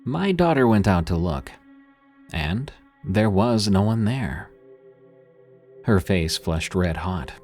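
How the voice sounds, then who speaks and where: A man narrating a scripted horror story in an expressive storytelling manner. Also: deep voice, slow, long pauses, faint music behind.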